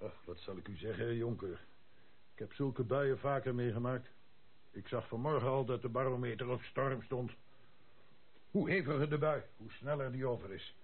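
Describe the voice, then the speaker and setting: An actor playing a jovial old soldier in a radio drama, with a flowery, mock-obedient manner and a fondness for food. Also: male voice, medium pitch, moderate pace, husky, natural voice. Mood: philosophical. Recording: tinny old radio recording, faint noise.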